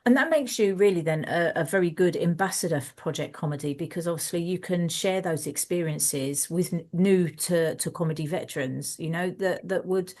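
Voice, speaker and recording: A woman interviewing a guest in a conversational way, supportive and quick to affirm. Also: lowish voice, darkish tone. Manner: supportive, frequent affirming backchannels.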